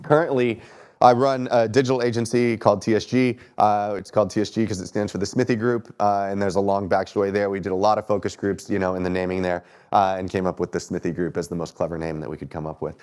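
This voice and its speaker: A man's voice: lowish voice, flat delivery, fast talker, darkish tone. Texan man, 30s, keynote speaker, a bit stuffy, joking, chatty, asks the crowd things. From Texas. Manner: conversational, self-deprecating, asks audience questions. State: stuffy from allergies. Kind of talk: conference talk. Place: stage in a lecture hall.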